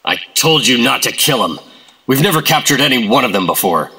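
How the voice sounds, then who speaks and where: An actor playing a gruff, weary male government operative in a radio drama, confiding and grave. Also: mid-range voice, very animated, a little fast, slight background noise, low-quality recording. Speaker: secret agent Operative-132. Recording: radio drama with loud music score.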